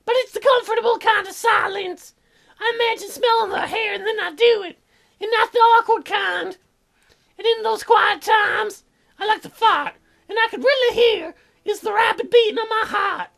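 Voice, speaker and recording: A man voicing a dim, crude, excitable teenage girl who gushes and blurts in a childlike way for a game. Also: very high voice, normal speed, creaky, voice pushed up, exaggerated voice. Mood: gushing.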